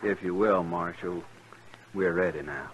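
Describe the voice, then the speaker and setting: An actor playing a gruff, fair-minded Texas cattle drover with a folksy in a 1950s radio western. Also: male voice, low-pitched, a little slow, highs cut off. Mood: grave, subdued. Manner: plain, folksy. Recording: noisy old radio recording.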